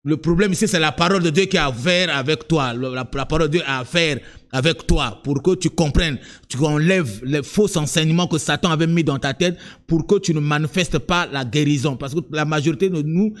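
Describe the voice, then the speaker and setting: A man preaching a sermon in French, which is not his first language, moving from low, direct asides to high, sung-out cries of emphasis. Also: brisk. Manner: repeats words and restarts, talks to the listener directly. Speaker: apostle preaching.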